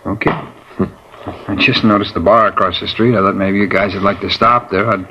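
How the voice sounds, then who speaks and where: An actor in 1950s radio drama playing a nervous, drink-craving informant who talks in whiny, streetwise slang. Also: male voice, lowish voice, fairly even intonation, normal speed, close to an everyday voice. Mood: wheedling. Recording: lo-fi old radio broadcast, faint hiss.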